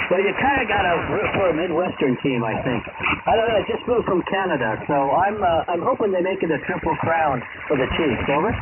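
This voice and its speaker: A man's voice: on the high side, expressive, normal speed, phone-quality sound. A middle-aged or older man calling in casually over amateur radio, heard through a radio transmission. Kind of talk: casual ham radio exchange. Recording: thin, noisy shortwave radio signal.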